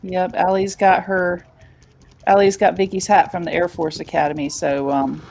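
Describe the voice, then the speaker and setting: A woman's voice: fairly low pitch, little pitch movement, moderate pace, gravelly, a little mellow. A woman in her forties chatting casually with friends, telling a rambling family story. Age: 40s. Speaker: painting group member. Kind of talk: casual chat.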